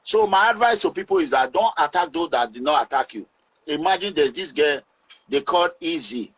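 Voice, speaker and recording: A Nigerian man speaking in a forceful, preaching way as he gives advice to his online audience. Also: on the high side, slightly rough, low-quality recording.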